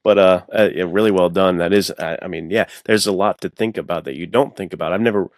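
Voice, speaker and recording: A man's voice: deep voice, fairly even intonation, quick pace, no echo, clean studio sound. A man chatting casually on a podcast in an effusive, slangy way. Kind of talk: casual chat.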